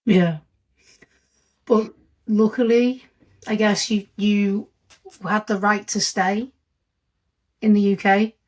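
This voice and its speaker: A woman's voice: medium pitch, steady tone, slow pace, pauses often, darkish tone. A woman hosting a podcast interview, asking chatty leading questions with tag questions. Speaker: podcast host.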